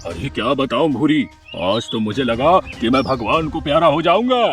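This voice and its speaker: A man's voice: medium pitch, very animated, moderate pace, fairly bright tone. A man voicing a panicky, fearful crow father in a cartoon, agitated and exclaiming. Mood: shaken, agitated. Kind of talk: cartoon character acting. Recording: music behind the voice.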